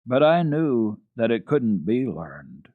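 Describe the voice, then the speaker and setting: Storybook child, a naive little girl, played by a man: plaintive, earnest, husky tone, narrow-band vintage sound. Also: medium pitch, sing-song, takes it slowly. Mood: resigned. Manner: plaintive, resigned. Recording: narrow-band, echoey old radio recording.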